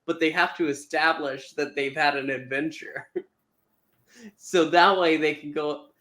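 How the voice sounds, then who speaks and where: A man's voice: a little high, fairly even intonation, unhurried, bright, forceful tone. A man co-hosting a podcast who gives wry, chatty opinions on an audio drama, often with fillers and small laughs. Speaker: podcast co-host. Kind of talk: casual review chat.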